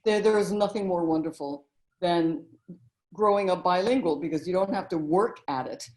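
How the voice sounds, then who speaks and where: A middle-aged woman talking in a relaxed interview, telling stories and memories as the guest. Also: lowish voice, normal speed, low-quality recording. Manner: warm, storytelling reminiscence with frequent "you know". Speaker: guest, author and narrator.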